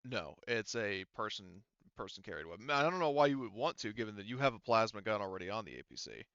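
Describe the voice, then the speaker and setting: Bright-voiced man explaining game rules, slightly muffled. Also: fairly low pitch, very animated, fast, no echo. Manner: hesitant, rambling, self-correcting explanations. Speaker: game master. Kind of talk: casual tabletop game refereeing, explaining rules.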